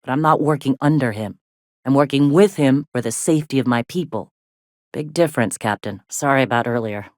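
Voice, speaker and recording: A woman voicing a gruff, plainspoken middle-aged frontier medic in a video game, with a blunt, folksy way of talking. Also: rumbling low, a little fast, a little mellow, no echo, studio quality. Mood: frank. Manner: folksy, blunt, drawling slang with swearing. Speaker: video game character, medic and deputy leader.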